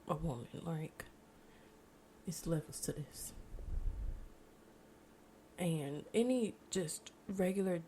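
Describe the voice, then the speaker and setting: American woman, twenties, mellow tone, slightly low pitch, informal reflective podcast chat, noisy background. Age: 20s. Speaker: podcast host. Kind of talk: casual solo podcast monologue. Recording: noticeable background noise.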